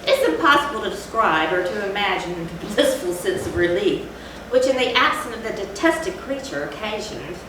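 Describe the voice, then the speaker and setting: A woman's voice: mid-range voice, lively intonation, moderate pace, close to an everyday voice. Woman playing a gloating Gothic murderer, echoing hall. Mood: gloating relief. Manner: theatrical, emphatic, old-fashioned formal diction. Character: gloating, guilt-ridden murderer confessing, outwardly calm, inwardly frenzied. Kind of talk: dramatic stage reading of Gothic horror. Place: theatre stage, recorded from a distance. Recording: echoey hall, distant mic, noisy.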